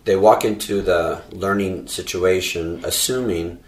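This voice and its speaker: A man with a very low voice hosts an interview, drawing out his questions slowly. He sits well back from the microphone, and his voice rings in an echoey room. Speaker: podcast host. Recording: echoey and distant from the mic.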